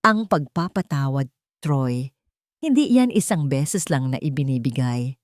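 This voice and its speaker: A woman voicing a gentle, forgiving young wife and mother with a sincere, measured delivery. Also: a bass voice, lively intonation, dry recording, clean studio sound. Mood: tender, sincere.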